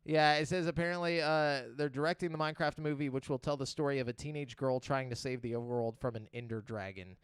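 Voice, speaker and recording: A man's voice: mid-range voice, fast, few pauses, smooth, dry recording. A man hosting a podcast in casual, slangy chat, with some swearing. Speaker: podcast host.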